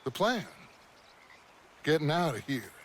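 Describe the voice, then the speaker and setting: An actor playing a commanding, scheming middle-aged American outlaw gang leader in a video game, deliberate and persuasive, with a gravelly voice. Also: male voice, a little high, big pitch swings, slight background noise. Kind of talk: cinematic video game acting.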